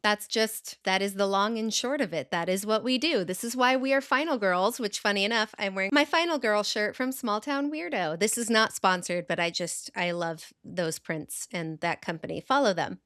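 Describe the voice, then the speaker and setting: A woman co-hosting a podcast, chatting casually in a playful, expressive way. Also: medium pitch, moderate pace, hardly any pauses, dry recording, clean studio sound. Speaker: podcast co-host. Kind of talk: casual chat.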